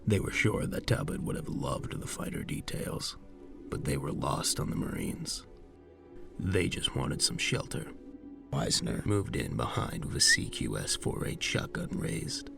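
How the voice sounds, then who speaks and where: Very deep male narrator, heavy gravelly fry, widely swinging intonation, measured dramatic storytelling, music behind.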